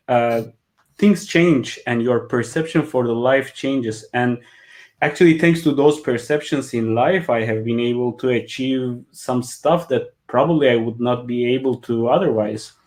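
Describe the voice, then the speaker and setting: A man's voice: medium pitch, darkish tone. Hesitant man on a video call. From Turkey (Kurdish region, Diyarbakır). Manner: hesitant, many filler "uh"s, wry laughs. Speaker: guest, business consultant and coach. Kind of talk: casual interview on a video call.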